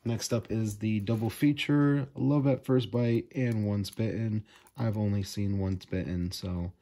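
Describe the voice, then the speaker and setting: Guy with a deep low voice doing a chill unboxing, lots of uh and um, faint hiss behind him. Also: mellow. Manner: enthusiastic, frequent fillers. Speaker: video host. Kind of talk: casual unboxing vlog. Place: indoors at a table, off camera.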